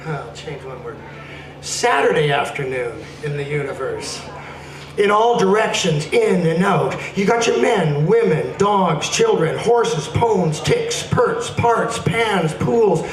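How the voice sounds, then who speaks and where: A man giving a playful, dramatic spoken-word performance, reading aloud in a casual live setting. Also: sits high. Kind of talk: animated live poetry reading with casual introductions. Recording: roomy, distant mic with faint noise.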